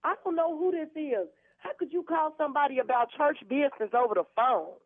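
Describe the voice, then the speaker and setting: A woman chatting casually and exclaiming on a phone call, in an animated manner. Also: high voice, a little fast. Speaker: prank call target. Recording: phone call with crowd noise.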